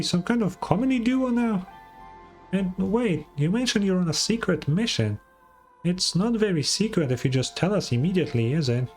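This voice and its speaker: A woman voicing a small, excitable, blunt fairy companion girl in a video game, squeaky and childlike, with music behind her. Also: lightly acted. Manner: brash, exclamatory, speaks of herself in third person.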